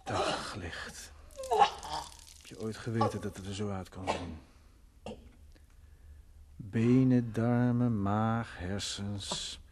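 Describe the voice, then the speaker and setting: A man's voice: lowish voice, steady tone, takes it slowly, long pauses, noisy background. An actor playing a bitter, brooding drunk man in a Dutch radio play, sardonic, morose and terse in manner. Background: faint music.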